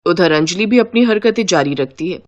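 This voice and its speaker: A woman's voice: lowish voice, animated, normal speed, muffled recording. A woman giving scripted storytelling narration for a moral-story cartoon.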